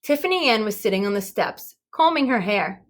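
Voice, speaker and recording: A woman's voice: medium pitch, a little fast. A woman reading a children's story aloud expressively, with little asides and questions to her listeners. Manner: animated, engaging questions to listeners. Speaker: storybook reader.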